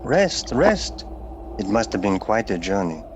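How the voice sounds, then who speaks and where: An actor in an audio play playing a mysterious, welcoming hunter who lives inside a painting. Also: male voice, fairly low pitch, very animated, noisy background. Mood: soothing, mysterious. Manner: slow, welcoming.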